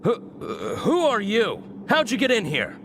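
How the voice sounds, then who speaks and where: A man's voice: sits high, huge pitch swings, a little slow, background noise, full character voice. A man voicing a haughty, suspicious and defiant guard in a video game, blustering with indignation. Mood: suspicious, indignant.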